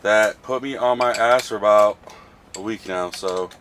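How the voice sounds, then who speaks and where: A man's voice: fairly low pitch, measured pace, background noise. A man with a nasal voice from a cold, chatting casually about working on his car in a home garage. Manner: casual, mutters while working, swears. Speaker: car project vlogger.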